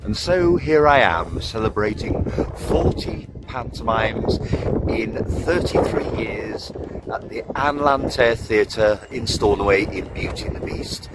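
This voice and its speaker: Unhurried British man in loud wind noise. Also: lowish voice, lively intonation. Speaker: veteran pantomime performer. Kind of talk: unhurried talk to camera.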